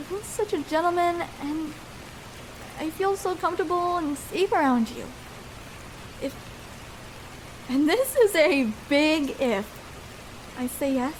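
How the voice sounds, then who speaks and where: A woman's voice: high-pitched, a little hiss or hum, poor audio. A young woman playing a shy, vulnerable escort in an intimate ASMR roleplay, speaking hesitantly and tenderly with small stammers.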